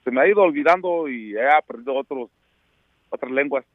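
A man's voice: medium pitch, expressive, brisk, no echo. A middle-aged man from Oaxaca, Mexico, whose first language is Mixtec, speaking Spanish with a Mexican accent. He talks as a guest on a radio interview by phone, reminiscing and hesitating with many fillers. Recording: tinny dry phone line.

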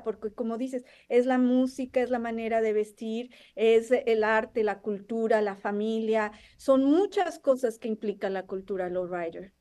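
A woman speaks as co-host in a radio discussion. Her voice is mellow and on the high side. She talks reflectively and conversationally, often adding tag questions for agreement.

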